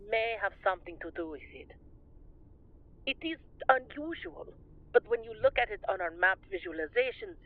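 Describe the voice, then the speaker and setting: A woman playing an earnest doctor interviewed on the radio, measured, precise and formal, through a radio filter. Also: mid-range voice. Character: earnest female doctor, expert guest on radio. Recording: muffled, band-limited radio broadcast sound.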